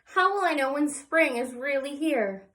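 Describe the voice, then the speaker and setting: A woman reading the part of an eager, curious little girl in a picture book, with expressive questioning. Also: sits high, unhurried, voice pushed up.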